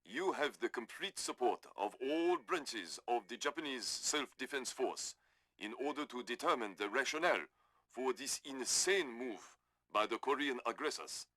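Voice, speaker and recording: An actor playing a stern, formal Japanese military official giving a declamatory briefing in a video game. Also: male voice, medium pitch, lively intonation, normal speed, pushed and bright, dry recording. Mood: stern, resolute.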